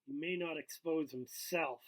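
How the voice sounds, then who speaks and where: A man voicing a sullen, stubborn teenage boy artist from a novel, terse and quietly defiant. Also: a little high, measured pace. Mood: bitter, angry. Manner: terse, deflecting.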